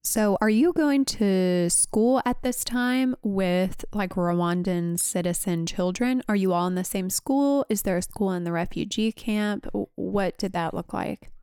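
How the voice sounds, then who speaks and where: Woman podcast host, smooth voice, chatty quick questions, dry sound. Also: medium pitch, a little mellow, dry recording. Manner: friendly, quick with filler ums. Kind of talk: casual interview conversation.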